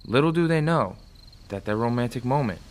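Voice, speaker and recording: A man's voice: mid-range voice, expressive, brisk, slight background noise. A man reading scripted dramatic narration as the narrator of a radio play. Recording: music behind the voice.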